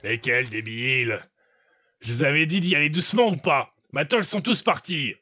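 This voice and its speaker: A man's voice: a little high, normal speed, pushed and bright. A man voicing a cocky pirate captain who answers dryly and impatiently. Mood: sarcastic. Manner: dry, impatient, curt.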